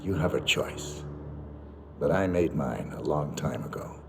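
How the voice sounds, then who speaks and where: An actor playing a stoic, brooding assassin, terse and restrained, in a video game cutscene. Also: male voice, extremely low pitch, lively intonation, measured pace, creaky, noisy background, exaggerated voice. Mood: resolute. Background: cinematic music.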